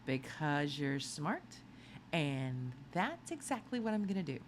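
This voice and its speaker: Woman voicing an animated, insistent young sleuth. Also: low-pitched, measured pace, slight background noise. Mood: determined, persuasive. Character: clever, determined young narrator hero, eager amateur sleuth.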